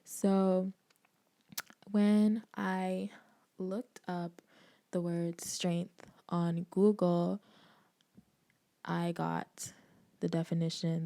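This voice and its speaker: A young woman from the Bahamas, hosting her own podcast in candid, animated casual talk with frequent laughs and slangy asides. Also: fairly low pitch, gravelly, dry recording. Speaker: podcast host. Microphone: desk microphone.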